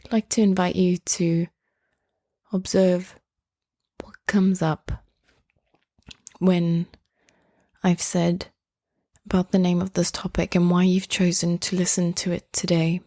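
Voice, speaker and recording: Slow, mellow, low-voiced woman guiding meditation. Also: level tone, no room sound, studio quality. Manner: gentle, unhurried, with long pauses between phrases. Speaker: guided meditation host. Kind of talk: guided meditation, slow and soothing.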